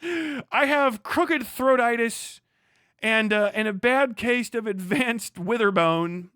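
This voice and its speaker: A man voicing a pitiful, sickly Victorian invalid who lists absurd ailments in a drawn-out, melodramatic way, as stream comedy. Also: very high voice, bright, forceful tone, clear, resonant tone, no room sound, studio quality, exaggerated voice. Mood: mock suffering.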